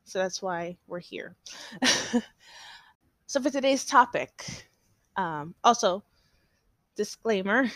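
A young woman talks slowly through her solo podcast, meandering and hesitant with lots of fillers and restarts. Her voice is a little stuffy from allergies. The sound is close and dry, with no room around it. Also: medium pitch, lively intonation, a bit bright, no room sound. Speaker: podcast host. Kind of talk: casual solo podcast chat.

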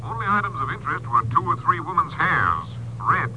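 An actor playing a clipped, official Texas Ranger captain in an old-time radio crime drama. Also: male voice, on the high side, sing-song, a little fast, pushed and bright, slight background noise, exaggerated voice, poor audio. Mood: brisk, pointed. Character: brisk Texas Ranger captain reporting from headquarters.

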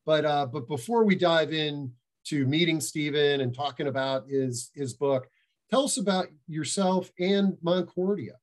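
A man hosting a virtual panel discussion, introducing the guests with many fillers. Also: a little high. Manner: slow, rambling with many fillers. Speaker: host. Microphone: video call.

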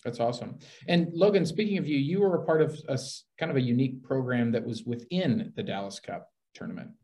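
Mid-pitched male podcast host. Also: a little fast, warm tone. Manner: encouraging, repeats "very good". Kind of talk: interview hosting.

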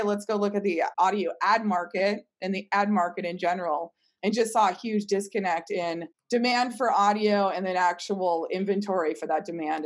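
A woman talking casually in an interview as a startup team member, retelling things informally. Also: medium pitch. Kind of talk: casual conversational explanation.

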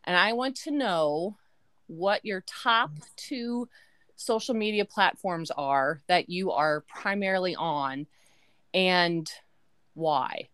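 A woman's voice: fairly low pitch, takes it slowly, a bit bright, clear, resonant tone, no room sound. Chatty woman hosting a family podcast. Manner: chatty, laughs often. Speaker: podcast host. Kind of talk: casual family chat. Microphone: remote call.

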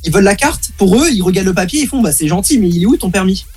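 A young man, a student, chatting casually and complaining in a fast, animated way. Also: high voice, faint music behind. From Baden-Württemberg, Germany. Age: young adult. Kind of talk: casual chat.

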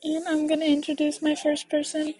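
A woman's voice: sits high, monotone, brisk, mellow. A young woman casually interviewing friends and family with playful, teasing questions.

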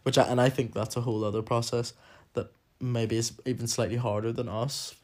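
A man's voice: medium pitch, little pitch movement, a little fast, darkish tone. A young man talks casually as a co-host. He speaks loosely, full of fillers, and steers the conversation with his questions. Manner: chatty, many fillers, leads the questions. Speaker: podcast co-host. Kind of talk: casual chat.

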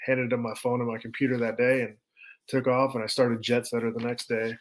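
A man's voice: mid-range voice, little pitch movement, a little fast. A man in his thirties, a podcast guest, telling his story in a loose, rambling way with many fillers. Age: 30s. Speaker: podcast guest, business owner. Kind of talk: casual conversation.

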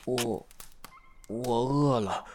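Man acting a slow-spoken, sensitive young fox spirit. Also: medium pitch, darkish tone, a little hiss or hum. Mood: weak, contrite. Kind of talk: ancient-China audio drama acting.

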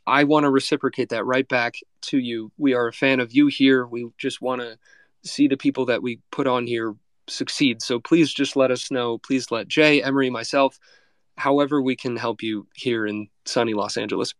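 A man's voice: medium pitch, fairly even intonation, normal speed, no room sound. A man hosting a podcast interview, friendly and effusive, speaking over a video call. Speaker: podcast host. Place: Los Angeles.

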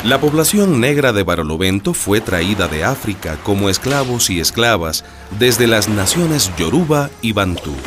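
Deep, expressive male radio narrator. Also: moderate pace, music in the background. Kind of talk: scripted radio drama narration.

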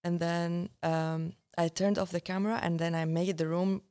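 A young woman whose voice sits a little low. She talks casually as a guest filmmaker, restarting sentences and hunting for the right word as she goes. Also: flat delivery, moderate pace, mellow. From Syria. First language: Arabic. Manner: searching for words, many restarts. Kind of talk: casual conversation.

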